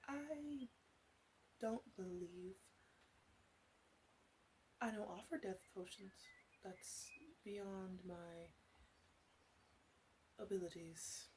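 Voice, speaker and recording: A woman playing a sly, flirty witch who runs a potion shop, in a soft-spoken ASMR roleplay with whispers and halting, teasing asides. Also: medium pitch, a little hiss or hum, low-quality recording. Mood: defensive.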